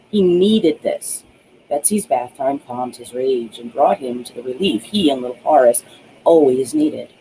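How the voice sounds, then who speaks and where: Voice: medium pitch, warm tone, a little hiss or hum, poor audio. An author reading their own novel aloud as scripted audiobook narration.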